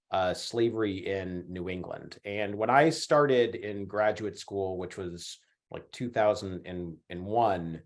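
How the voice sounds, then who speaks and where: Hoarse man giving an online lecture. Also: low voice. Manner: hesitant, many fillers and restarts. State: hoarse from a chest cold. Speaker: history professor giving a talk. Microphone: computer mic on a video call.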